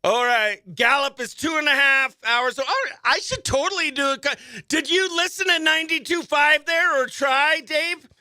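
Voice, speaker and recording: High-pitched, exuberant male radio host. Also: normal speed, hardly any pauses, sharp tone, dry recording. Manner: exuberant, slangy, chatty. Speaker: radio morning show host. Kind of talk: live radio banter, giveaways and phone-in games.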